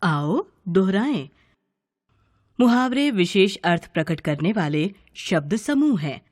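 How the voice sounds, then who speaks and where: A woman's voice: mid-range voice, sing-song, takes it slowly, darkish tone, no echo, studio quality. A woman narrating a scripted lesson for children in the role of a teacher.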